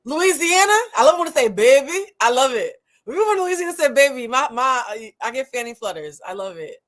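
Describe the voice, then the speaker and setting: A young woman from Boston hosting a relaxed chat show. Her voice is fairly high and swings up and down a lot. She talks fast, teases her guest and fires off questions. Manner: playful, teasing, quick-talking. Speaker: host.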